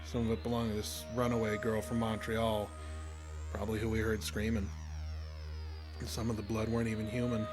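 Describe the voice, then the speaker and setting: A man's voice: lowish voice, natural voice. An American man playing a weary, plainspoken fishing guide with a folksy, uneasy manner in a horror audio drama. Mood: somber, resigned. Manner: folksy, ungrammatical storytelling.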